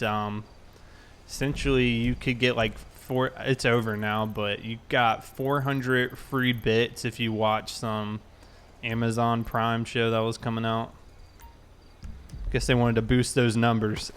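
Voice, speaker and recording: A man with a smooth, clear voice at an average pitch hosts a game livestream. He talks informally, thinking aloud as he goes and chatting with his viewers in a loose, casual way. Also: fairly bright tone. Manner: informal, thinking aloud while reading chat. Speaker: live streamer, host.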